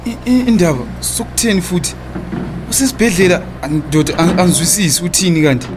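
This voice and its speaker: A man's voice: high-pitched, expressive, fast talker, background noise. A man with a Zimbabwean accent playing an evasive, cajoling philandering husband in a radio drama. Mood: evasive, startled. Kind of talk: radio drama acting in Ndebele.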